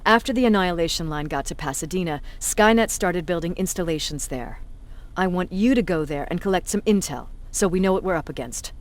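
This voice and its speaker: An actress playing a blunt, authoritative resistance commander in a video game, tough and sardonic. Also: female voice, on the low side, expressive, fairly quick, close to an everyday voice. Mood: serious, briefing.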